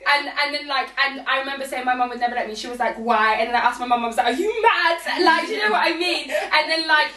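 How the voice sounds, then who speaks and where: A woman's voice: high-pitched, fast, few pauses, sharp tone, reverberant, distant mic. A young woman, chatting casually on a podcast and telling stories with many fillers. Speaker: podcast co-host. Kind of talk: casual chat.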